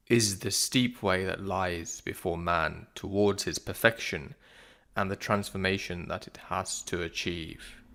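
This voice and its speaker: A man reads philosophical prose as a solemn, measured narration, pausing deliberately between phrases. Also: deep voice, gravelly, fairly bright tone. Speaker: narrator of a spiritual audiobook. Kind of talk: scripted reading of philosophical prose.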